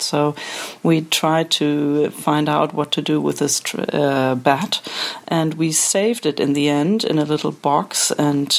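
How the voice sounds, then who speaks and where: A woman's voice: low-pitched, vocal fry. A woman conservator telling a story in a thoughtful, slightly hesitant way. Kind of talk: recorded anecdote.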